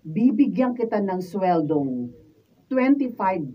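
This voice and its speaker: Wealthy lady philanthropist, Filipino accent, radio drama, lowish voice, lively pitch, gracious, slightly dull recording. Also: slow, far from the mic, slight background noise. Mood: gracious, businesslike. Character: wealthy, generous female philanthropist offering work.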